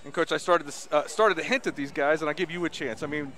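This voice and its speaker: A man's voice: a little high, fairly even intonation, fast talker, a bit bright, a little hiss or hum. A man interviewing a coach after a game, asking long, rambling questions. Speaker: broadcast interviewer.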